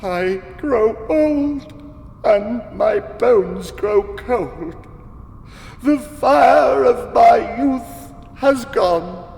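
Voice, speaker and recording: Elderly storybook king: frail, grief-stricken, high singsong lament, long reverb. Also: animated, vocal fry, darkish tone, exaggerated voice. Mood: despairing lament. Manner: slow, mournful, wailing. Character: despairing, frail old king, sorrowfully lamenting. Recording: echoey, long reverb, music behind.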